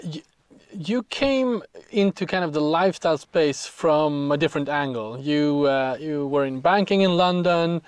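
Male interviewer, slightly high pitch, hesitant conversational phrasing with repeated words, dry recording. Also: lively intonation, clean tone, no echo. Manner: hesitant, halting, repeats words while forming questions. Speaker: podcast host, interviewer.

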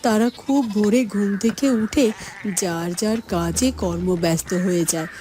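A woman voicing expressive female storybook figures and narration for a fairy-tale cartoon. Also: medium pitch, normal speed, natural voice. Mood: cheerful, explaining. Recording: clear background noise and ambience.

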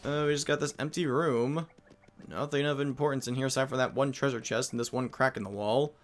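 A man hosting a let's play, giving chatty, self-mocking commentary with quick asides. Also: medium pitch, steady tone. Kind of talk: casual game commentary.

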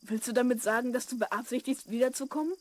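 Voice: medium pitch, quick pace, close to an everyday voice. An actor playing a curious, sympathetic twelve-year-old boy adventurer in a children's audio drama, inquisitive and earnest.

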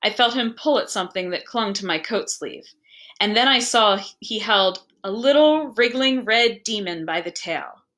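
A woman reading a story aloud as narrator, with an expressive, deliberate storytelling delivery. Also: medium pitch. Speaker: library staff member reading aloud.

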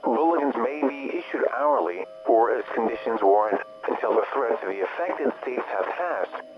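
A man's synthetic-style voice playing an impersonal weather service alert announcer, reading a formal bulletin in a measured monotone. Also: mid-range voice, dull audio. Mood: official. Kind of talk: formal scripted emergency bulletin.

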